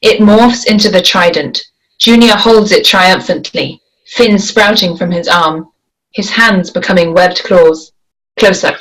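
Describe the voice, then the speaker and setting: Woman, table read narrator, slow, lowish voice, measured. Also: a bit bright, rough recording. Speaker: narrator reading stage directions.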